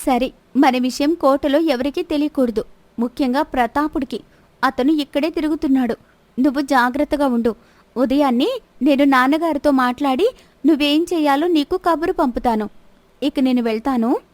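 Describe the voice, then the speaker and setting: A bold young princess in disguise as a woman storyteller plays her. Her voice is high and she questions people in a probing, teasing way. The sound is close and dry, like an audio storybook. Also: moderate pace, a little mellow, no room sound, close to an everyday voice. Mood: cautious.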